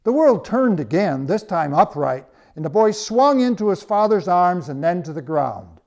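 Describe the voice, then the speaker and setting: A man reading his own story as scripted narration, with measured, dramatic phrasing and pauses. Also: high-pitched, very animated, creaky, darkish tone, lo-fi sound.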